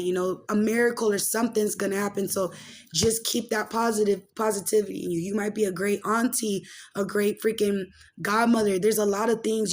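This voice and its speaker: A woman's voice: medium pitch, darkish tone. Rambling, slangy young woman chatting casually. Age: late 20s. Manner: rambling, slangy, peppered with "like" and "freaking". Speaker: podcast host and commentator. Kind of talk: casual gossip commentary and personal chat.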